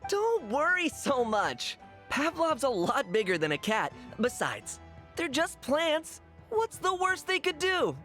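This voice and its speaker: A woman's voice: high-pitched, very animated, slow pace, a bit bright, soft background music, full character voice. A woman voicing an easygoing, confident young student in a video game. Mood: breezy, confident.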